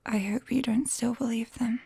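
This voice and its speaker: A British woman playing a gentle, shy, caring forest witch in a soft, breathy ASMR whisper, close to a binaural microphone. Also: fairly high pitch, fairly even intonation, no room sound, heavily acted. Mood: wary, apologetic. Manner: slow, gentle, hesitant. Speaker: ASMR roleplay performer.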